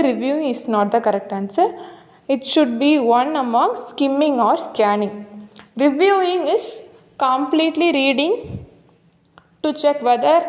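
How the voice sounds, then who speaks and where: A woman with a distinctly high voice and a South Indian accent gives a lesson. She reads out exam questions and options, then explains each answer step by step in an instructional manner. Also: a little mellow. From Tamil Nadu, India. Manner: explanatory, checks with "right?" and "okay". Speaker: teacher.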